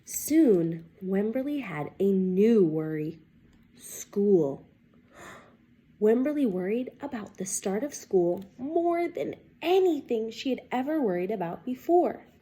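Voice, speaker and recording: A woman reading a children's picture book aloud as a teacher, expressive and deliberate, pausing to put questions to young listeners. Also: mid-range voice.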